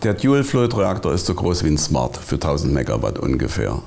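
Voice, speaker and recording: A man's voice: rumbling low, huge pitch swings, fairly quick, creaky, a little mellow, a little hiss or hum. A man, a company founder, making brief remarks full of figures as a guest on a panel. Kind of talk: discussion panel.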